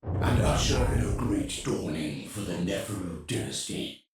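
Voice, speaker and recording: A man's voice: deep voice, animated, a little slow, a little mellow. A man voicing an imperious, ancient machine pharaoh in a video game, stately, formal and cold. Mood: imperious, grave. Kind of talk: dramatic video game cutscene acting. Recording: heavy cavernous echo, distant, under music.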